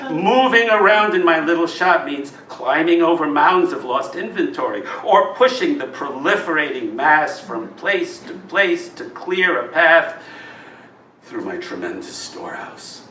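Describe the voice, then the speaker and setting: Slow, expressive man reading poetry, distant mic. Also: a little high. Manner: deliberate, dramatic phrasing. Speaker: poet reading own work. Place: live reading in a roomy indoor venue. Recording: roomy live recording, distant mic, noisy.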